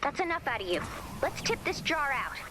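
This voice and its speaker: A woman voicing an excitable, bossy mission guide in a video game, over loud music and effects. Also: sits high, brisk, heavy background noise. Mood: scolding. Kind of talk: video game character acting.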